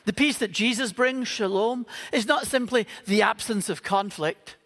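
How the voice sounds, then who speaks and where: Northern irish guy preaching, pretty high voice, big echoey hall. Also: moderate pace, fairly bright tone. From Northern Ireland. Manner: earnest, with playful humorous asides. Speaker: pastor. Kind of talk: Christmas Eve sermon. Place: church auditorium.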